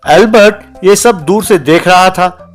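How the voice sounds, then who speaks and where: A man's voice: high-pitched, measured pace, soft background music. A man narrating a scripted children's story as its storyteller.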